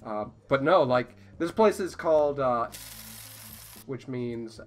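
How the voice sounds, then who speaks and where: Lively man talking haltingly. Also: medium pitch, soft background music. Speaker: podcast guest. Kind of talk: casual podcast chat.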